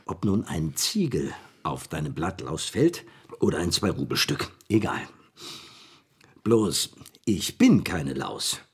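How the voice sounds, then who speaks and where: A man playing a brooding, defiant figure in a radio drama, with a raspy voice and deliberate delivery. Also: low-pitched, big pitch swings, heavily acted. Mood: brooding defiance. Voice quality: raspy, husky. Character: brooding, defiant man facing a superior power.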